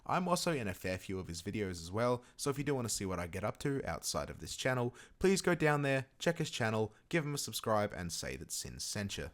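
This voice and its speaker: A man's voice: lowish voice, sing-song, fairly quick, hardly any pauses. A man narrating a video game tutorial in a casual, chatty way, with emphatic, informal asides. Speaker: gaming video creator presenting a guide.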